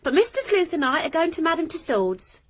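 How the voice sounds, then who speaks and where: A cheeky young niece. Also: female voice, very high-pitched, big pitch swings, fairly quick. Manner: breezy, cheeky. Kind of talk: radio drama acting.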